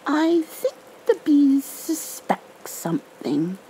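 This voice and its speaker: Woman voicing an earnest, high-pitched storybook bear. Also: sing-song, slow, dark tone, slight background noise, heavily acted, rough recording. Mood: hushed, suspicious.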